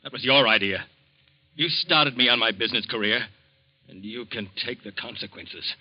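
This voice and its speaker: A worn-down, henpecked husband acted by a man who speaks hesitantly in a fairly low voice. The recording sounds dull, like an old radio broadcast. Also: normal speed, natural voice. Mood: meek. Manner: hesitant and subdued, turning bitter. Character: meek, henpecked middle-aged failed artist husband. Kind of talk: 1940s radio acting.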